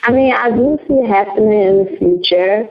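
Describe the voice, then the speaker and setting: A woman's voice: medium pitch, normal speed, slight background noise. Earnest young woman, rambling interview answers. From Newark, New Jersey. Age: young adult. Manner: earnest, rambling, many fillers. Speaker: guest, author services and radio host. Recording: dull lo-fi audio.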